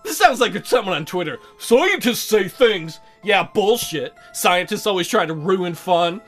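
A man's voice: high-pitched, animated, a little slow, soft background music. A man doing casual, crude, joking commentary as he plays a game, rambling along. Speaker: let's player.

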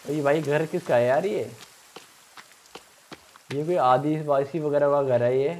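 Young male gamer, slightly high voice, casual thinking-aloud commentary, faint crackling noise behind. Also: fairly even intonation, a little slow, darkish tone. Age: young adult. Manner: thinking aloud, repeats himself. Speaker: gaming streamer. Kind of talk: casual let's play commentary.

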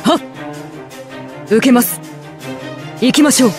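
A woman's voice: high-pitched, a little slow, music in the background. A woman voicing a courteous, gallant young male masked general in a video game, speaking in formal, noble martial declarations. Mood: spirited, commanding. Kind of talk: Japanese game battle voice lines.

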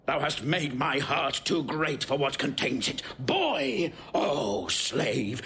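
A proud, explosive Roman warrior in a stage tragedy. His voice is gravelly and forceful, swinging widely as he declaims with contempt. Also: medium pitch, very animated, slow pace, full character voice. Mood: enraged, defiant. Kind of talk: classical Shakespearean stage acting. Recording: noisy restored old recording.

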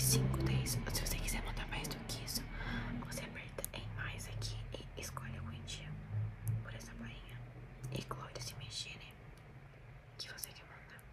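A woman's voice: extremely low pitch, recorded from a distance. Whispering young Brazilian woman. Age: young adult. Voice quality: breathy, unvoiced whisper. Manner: intimate, close to the mic. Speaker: ASMR video creator. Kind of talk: whispered ASMR, addressing viewers. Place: small indoor room at a desk. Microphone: desktop microphone at close range.

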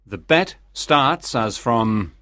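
Calm gentleman, formal, precise, coolly confident, expressive intonation, audiobook drama, dry close recording. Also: mid-range voice, no room sound, heavily acted. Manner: formal, exact, measured.

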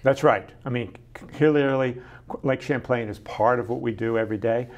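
A man's voice: mid-range voice, moderate pace, highs cut off. A middle-aged man, guest on a studio interview show, talking enthusiastically about his science center with many ums and restarts, on a lapel mic. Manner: enthusiastic, gestures a lot, frequent ums and restarts. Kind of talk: casual interview chat.

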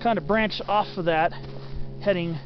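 A man giving casual, unscripted commentary on a hike, halting and thinking aloud as he goes. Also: sits high, music in the background. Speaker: hiker narrating his own hike. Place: outdoors on a forested mountain slope. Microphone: handheld camera mic. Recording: muffled camera audio, loud wind noise.

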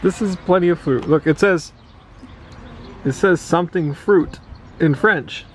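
A man's voice: a little high, a little slow, slight background noise. A man in his thirties narrating a cycling-trip vlog in a dry, joking, easygoing way. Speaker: travel vlogger. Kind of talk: casual chat.

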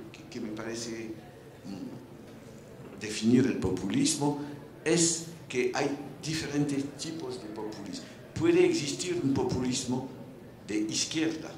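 An older man with a French accent, speaking on a round-table panel on a large indoor stage, searching for words with restarts, into a handheld mic. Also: mid-range voice. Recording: roomy hall with faint noise.

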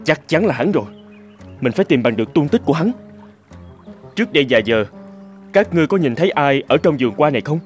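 A man's voice: fairly high pitch, very animated, fast, pauses often, soft background music. A man voicing a young prince who searches urgently and earnestly in a cartoon fairy tale. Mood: suspicious. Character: young prince, anxious and determined.

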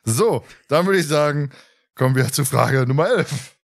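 A man's voice: fairly high pitch, lively intonation, moderate pace, vocal fry. A man hosting a podcast, chatting casually in a playful, teasing, jokey way. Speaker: podcast host.

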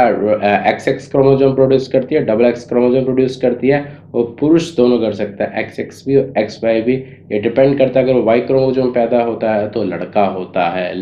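A man's voice: on the low side, slight background noise. A young man hosting a reaction channel, chatting casually to camera and explaining with loose fillers. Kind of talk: casual video intro and commentary. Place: small room with a cartoon-poster wall. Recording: echoey, dull room recording.

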